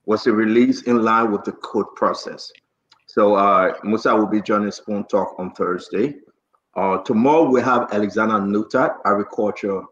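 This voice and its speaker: A Liberian man in his forties hosting a casual talk show, speaking animatedly and repeating phrases for emphasis. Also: fairly low pitch. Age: 40s. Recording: echoey room, slightly dull sound.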